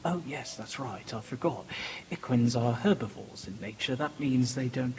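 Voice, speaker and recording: A woman's voice: very deep voice, lively intonation, normal speed, a little mellow, low-quality recording. A woman voicing a flustered, polite time-traveling male doctor pony in a fan radio play, with formal, hesitant speech. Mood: puzzled, awkward. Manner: formal, hesitant, eccentric turns of phrase. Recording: music behind the voice.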